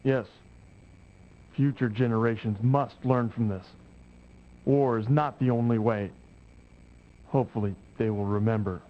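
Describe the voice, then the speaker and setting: A man's voice: medium pitch, fairly even intonation, measured pace, long pauses, natural voice. An actor playing an earnest male soldier in a video game cut-scene. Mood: solemn. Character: earnest male soldier pleading to save his enemy. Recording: muffled lo-fi 1990s game audio, noisy.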